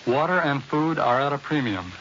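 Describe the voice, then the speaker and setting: Male American war correspondent, formal measured phrasing, medium pitch, muffled 1940s radio with light hiss. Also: animated, fairly bright tone. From United States. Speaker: war correspondent, interview guest. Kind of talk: scripted 1940s radio news report. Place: New York radio studio. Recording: hissy, band-limited 1940s radio recording.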